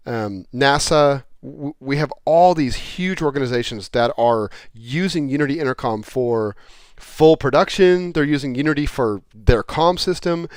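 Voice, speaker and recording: A man's voice: fairly high pitch, smooth, no room sound. Casual man explaining, close dry sound. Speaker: product presenter.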